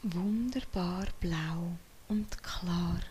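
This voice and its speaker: A woman whispering softly as she leads a guided meditation in a slow, soothing way. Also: on the low side, a little hiss or hum. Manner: soft whispering.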